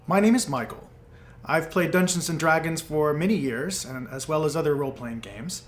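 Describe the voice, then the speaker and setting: Higher-voiced man in echoey room. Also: moderate pace, faint music behind. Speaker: tabletop role-playing player. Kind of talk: casual chat describing his character. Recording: echoey room with faint noise.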